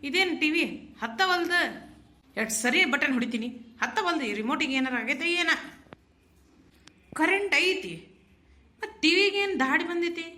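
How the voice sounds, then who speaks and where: A woman's voice: extremely high pitch, expressive, moderate pace, pauses often, bright, forceful tone, full character voice. A woman playing a petulant young man, a stubborn younger brother who whines and threatens to leave home, with a North Karnataka accent. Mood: annoyed. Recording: roomy echo.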